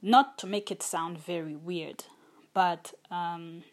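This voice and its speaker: A woman's voice: on the low side, fairly even intonation, slow pace. A young adult woman hosting a solo podcast, talking in an earnest, thoughtful and openly personal way. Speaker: podcast host. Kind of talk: reflective solo podcast talk.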